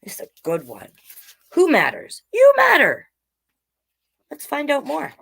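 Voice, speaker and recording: A woman with a fairly high voice reads aloud to children as a storytime host. Her delivery is unhurried and swings widely in pitch. She is playful and encouraging, slipping from the book into chatty asides addressed to her young viewers. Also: huge pitch swings, vocal fry, fairly bright tone.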